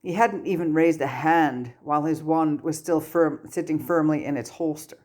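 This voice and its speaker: A woman's voice: low-pitched, moderate pace, darkish tone. A woman reading a story aloud as scripted audiobook-style narration. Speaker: amateur fan fiction narrator.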